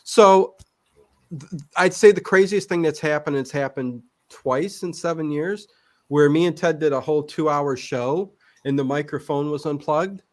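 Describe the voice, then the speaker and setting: A man's voice: a little high, normal speed, darkish tone, no echo. A middle-aged man chatting casually on a livestream as a show host, talking in a rambling, self-interrupting way.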